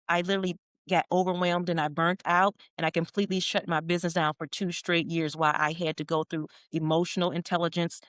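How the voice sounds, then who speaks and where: A woman's voice: on the low side, a bit bright, no echo, studio quality. A middle-aged woman, a leadership coach, speaking earnestly and emphatically as a guest on a podcast, often circling back and restating her points. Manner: earnest, emphatic, with repeated phrases. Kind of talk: podcast interview.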